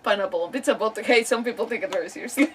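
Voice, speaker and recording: A woman's voice: a little high, lively intonation, rapid-fire, fairly bright tone, distant mic. A woman live-streaming a game, chatting casually in a rambling way and often interrupting herself. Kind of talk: casual livestream chat and reactions over a game.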